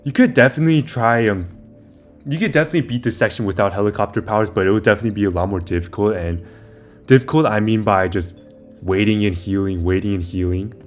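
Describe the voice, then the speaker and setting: A young man with a somewhat low voice talks through a video game in a loose, informal way. He explains each step as he plays, wandering a bit as he goes. Faint music sits behind his commentary. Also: fast. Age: young adult. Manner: informal, rambling, talks through steps as playing. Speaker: video maker giving game guide. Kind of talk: casual game walkthrough commentary.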